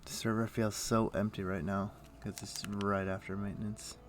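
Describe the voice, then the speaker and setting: A man livestreaming a game, chatting casually and thinking aloud in a rambling way, with a rough morning voice. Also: on the low side, little pitch movement, normal speed, music in the background. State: rough morning voice, throat clearing. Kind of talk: casual chat while playing an online game.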